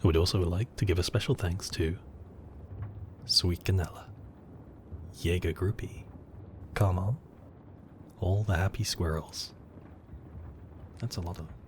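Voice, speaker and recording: Male ASMR host, low voice, soft-spoken channel outro, faint noise behind. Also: fairly even intonation, unhurried, pauses often.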